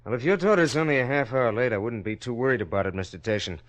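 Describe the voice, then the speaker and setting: An actor playing a calm, procedural police dispatcher in a 1950s radio drama, matter-of-fact and official. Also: male voice, mid-range voice, fast, natural voice. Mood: businesslike.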